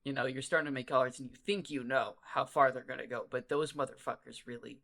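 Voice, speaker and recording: Male podcast host, bright forceful voice, loose animated rambling chat, salty language. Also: mid-range voice, quick pace, creaky. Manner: rambling, animated storyteller, swears freely. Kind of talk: casual chat.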